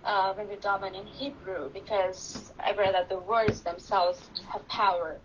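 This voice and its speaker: A woman's voice: medium pitch, steady tone, unhurried. A woman whose first language is Persian speaks up in an online class, earnest and thoughtful as she asks her question. Her call audio is dull, with a faint hiss behind her. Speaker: class participant. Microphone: video call.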